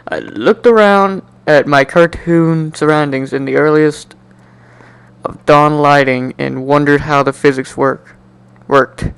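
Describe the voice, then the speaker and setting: A man doing an amateur dramatic reading of a first-person story in an animated, jokey way, now and then stumbling and repeating words. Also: fairly high pitch, slow pace, gravelly, smooth, dry recording, rough recording. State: coughing, slightly sick. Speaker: amateur fan fiction reader.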